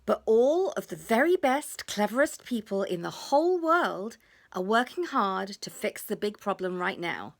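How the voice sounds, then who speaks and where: A woman voicing a gentle, reassuring mother in a children's storybook, soothing and encouraging as she explains simply. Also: medium pitch, sing-song, moderate pace, vocal fry.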